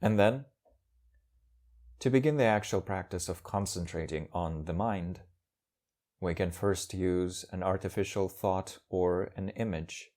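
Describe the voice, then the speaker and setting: A man leading a guided meditation as a teacher, speaking calmly in measured, short phrases with pauses. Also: low-pitched.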